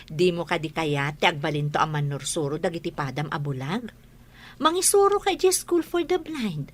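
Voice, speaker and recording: A patient, reassuring mother in an Ilocano radio drama. Her slightly low voice moves expressively up and down, with an Ilocano Filipino accent. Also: sing-song, moderate pace. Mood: encouraging. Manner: tender, reassuring. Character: encouraging, patient teacher mother.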